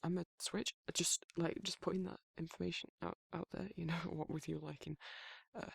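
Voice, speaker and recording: A British man playing a protective but awkward male werewolf in an intimate romantic ASMR roleplay, speaking in a halting, flustered, husky voice. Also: on the high side, little pitch movement, dry recording, full character voice. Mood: nervous, bashful. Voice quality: gravelly, husky, breathy. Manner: flustered, halting, stammering, trailing off.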